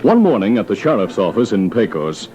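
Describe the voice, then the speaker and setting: A man's voice: fairly low pitch, very animated, a little fast, warm tone. A man with an American accent giving a dramatic, old-time radio announcer's scripted introduction.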